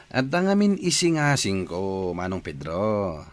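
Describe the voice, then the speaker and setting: A man's voice: on the low side, no echo. A man with an Ilocano accent playing an eager, scheming village man with modest dreams in a radio comedy-drama. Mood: eager, persuasive.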